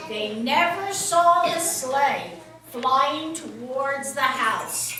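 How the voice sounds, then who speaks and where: A woman's voice: high-pitched, noisy background. A woman narrating a scripted children's story. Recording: echoey, distant, music behind.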